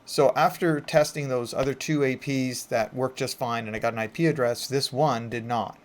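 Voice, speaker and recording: A man's voice: medium pitch, steady tone, moderate pace. Casual man walking through a tech tutorial. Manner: informal, conversational, explaining step by step. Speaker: IT instructor and presenter. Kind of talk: casual screen-recorded tutorial narration.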